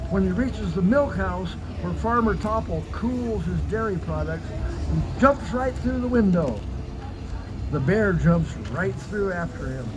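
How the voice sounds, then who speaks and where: A man narrating a tall animal tale as live storytelling, in a scripted performance. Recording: noisy outdoor live recording, traffic rumble.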